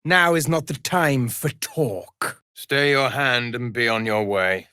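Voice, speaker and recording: A man's voice: mid-range voice, slow pace, pushed and bright, smooth, no echo, clean studio sound. A man playing a solemn, menacing necromancer judge hungry for vengeance in a fantasy video game, speaking formally and theatrically in archaic phrases. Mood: cool, aloof.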